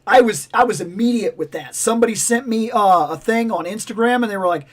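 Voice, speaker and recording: A man hosting a toy livestream in casual, rambling chat, with a voice going raspy and hoarse. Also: sits high, brisk. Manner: rambling, animated, self-interrupting. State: raspy, losing his voice. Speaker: toy channel livestream host.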